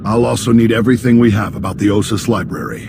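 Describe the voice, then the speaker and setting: Gravelly, solemn Jedi master. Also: male voice, lowish voice, steady tone, noisy background. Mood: grave, troubled. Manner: measured, formal. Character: grave, authoritative male Jedi master, a dutiful leader. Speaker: Jedi master leading a meeting. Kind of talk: video game dramatic acting.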